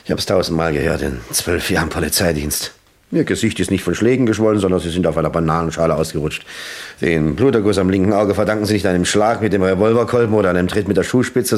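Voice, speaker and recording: A man acting an earnest, world-weary police lieutenant in a German crime radio play, deliberate and probing. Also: low-pitched. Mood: bitter.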